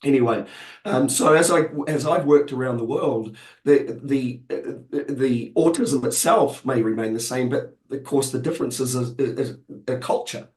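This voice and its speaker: Croaky-voiced man from New Zealand. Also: mid-range voice, normal speed, a little mellow, echoey room. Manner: hesitant, many fillers and restarts. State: croaky, recovering from illness. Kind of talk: webinar interview answers. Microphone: video call.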